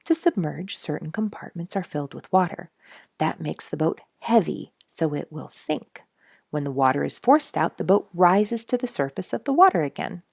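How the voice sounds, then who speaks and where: Low-voiced woman reading a children's story. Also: gravelly, darkish tone, husky, no room sound, studio quality. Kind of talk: expressive read-aloud children's storytelling.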